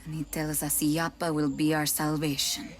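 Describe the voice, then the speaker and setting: A woman's voice: deep voice, little pitch movement, moderate pace, natural voice. An actress playing a capable, wry female scientist in a video game, speaking with an inflection. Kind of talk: video game cutscene acting. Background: game music.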